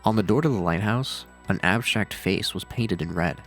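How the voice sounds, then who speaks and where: Low-voiced man narrating, dryly sardonic. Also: moderate pace, fairly bright tone. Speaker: video narrator and reviewer. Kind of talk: scripted horror series commentary with casual asides. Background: faint music.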